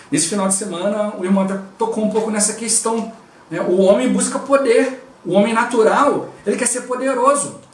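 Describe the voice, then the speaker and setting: A Brazilian man preaches in a relaxed, conversational way, sprinkling his talk with quick prayers. His voice sits high for a man. He sounds set back from the mic in a reverberant room. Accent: Brazilian Portuguese. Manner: conversational, constantly interjects "O Lord Jesus" and "né". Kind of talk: devotional preaching. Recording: roomy and distant from the mic.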